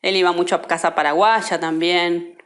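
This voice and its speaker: A woman's voice: lowish voice, steady tone, normal speed. A woman reminiscing informally in an interview.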